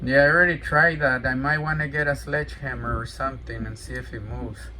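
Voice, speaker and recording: A man in casual radio chat and demonstrating equipment, talking in a halting, hesitant way. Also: mid-range voice, steady tone, heavy background noise. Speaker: amateur CB radio operator demonstrating a radio for sale.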